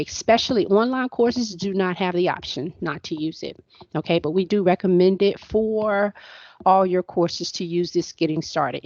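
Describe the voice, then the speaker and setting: Female faculty trainer, hoarse-edged, chatty and repetitive; muffled, dry video call sound. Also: on the low side, darkish tone, no room sound. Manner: conversational, repeats words and restarts often. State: voice going hoarse, clearing throat. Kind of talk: screen-share training walkthrough. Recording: dull, dry lo-fi online session audio.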